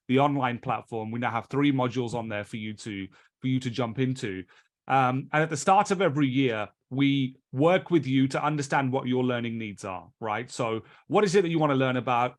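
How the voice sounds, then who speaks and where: British guy hosting an online training call, chatty and a bit rambly, keeps restarting bits of sentences, mid-pitched voice. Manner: chatty, loosely structured delivery with frequent restarts of phrases. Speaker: session host and trainer. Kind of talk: welcoming presentation in a live online training session.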